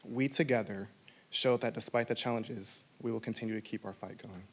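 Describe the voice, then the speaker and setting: A man's voice: fairly low pitch, fairly even intonation, brisk. A man delivering a prepared acceptance speech as an award recipient.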